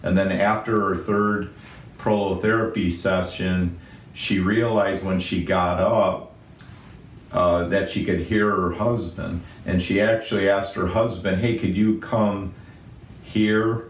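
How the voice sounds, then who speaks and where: Deep, flat-voiced doctor in a roomy office. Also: male voice, takes it slowly. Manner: self-described booming voice when animated, gestures a lot. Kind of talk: explainer video. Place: medical office in front of a screen. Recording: roomy, slightly distant, faint rumble.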